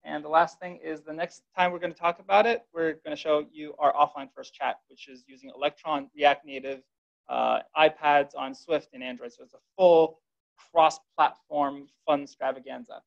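Gravelly upbeat man presenting. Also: a little high, steady tone, normal speed. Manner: upbeat, informal, filler words. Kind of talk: tech meetup presentation. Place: dark presentation room. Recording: dull lo-fi room recording.

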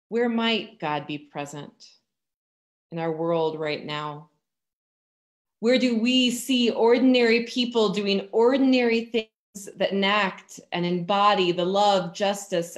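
A woman in her thirties with an American accent gives a sermon in measured, deliberate phrases with emphatic pauses, over a video call from a room at home. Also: medium pitch. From Virginia, United States. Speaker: pastor. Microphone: computer mic on a video call.

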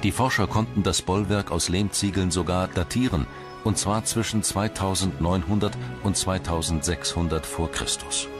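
A man narrates a documentary from a script in standard German. His voice sits very low, and music plays clearly behind him. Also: moderate pace. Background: background music.

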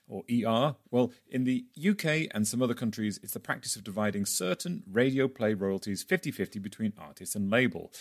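Male podcast host, low register, wry and playful, presenter-style delivery. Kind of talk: scripted podcast introduction, then chatty interviewing.

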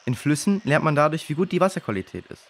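A man's voice: on the high side, very animated, fast, a bit bright, heavily acted. A man playing a clever, precise boy who explains and corrects, in a children's audio drama. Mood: explaining.

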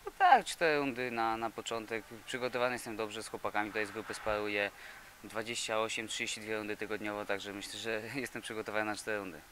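A young male boxer in his twenties from Poland, answering interview questions haltingly with many fillers and repeated words, recorded on a handheld mic in an indoor gym. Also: lowish voice, a bit bright, a little hiss or hum. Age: 20s. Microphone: handheld reporter mic.

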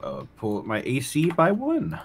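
A man's voice: fairly high pitch, wildly swooping pitch, moderate pace, vocal fry, soft background music. A man taking part in a tabletop roleplaying game, talking casually in and out of character. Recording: faint background noise.

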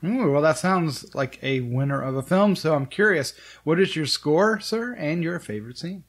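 A man's voice: a little high, lively intonation, normal speed, slightly rough. A man hosting a podcast in a casual, conversational style, chatting with a reviewer. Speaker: podcast host. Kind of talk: casual review chat, asking questions.

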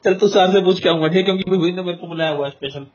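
A young man in a casual phone chat, giving placating, agreeing replies over a loudspeaker call. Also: high-pitched, rapid-fire, slight background noise. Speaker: gamer, YouTuber. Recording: muffled lo-fi phone call recording.

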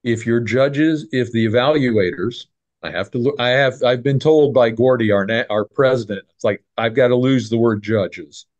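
A man's voice: mid-range voice, moderate pace, no echo, highs cut off. Middle-aged man on a dry webcam call. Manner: repeats words, restarts, folksy sayings. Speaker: clinic host. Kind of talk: casual video call chat. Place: home room. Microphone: video call webcam.

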